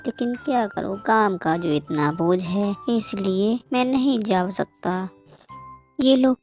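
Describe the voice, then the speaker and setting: An earnest young rabbit farmer from a cartoon played by a woman, with a fairly high voice. He sounds helpful and hardworking. Faint music plays behind. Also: a little mellow. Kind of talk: cartoon moral story acting.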